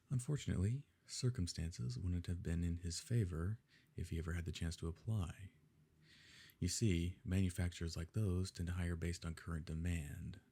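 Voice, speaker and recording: A man playing a smug, wealthy evil nobleman in an intimate ASMR roleplay, suave and condescending, with a gravelly, husky voice. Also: deep voice, warm tone. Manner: suave, condescending, leisurely formal phrasing.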